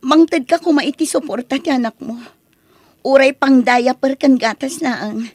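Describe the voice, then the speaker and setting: A woman playing a humble, pleading young single mother in an Ilocano audio drama, with an Ilocano accent and a breathy, husky voice. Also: high-pitched, moderate pace, darkish tone, no room sound. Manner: pleading, emotional.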